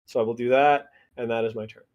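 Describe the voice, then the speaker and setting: A man's voice: mid-range voice, fairly even intonation, quick pace, darkish tone, lo-fi sound. A man playing a tabletop roleplay game, talking casually and matter-of-factly through his turn.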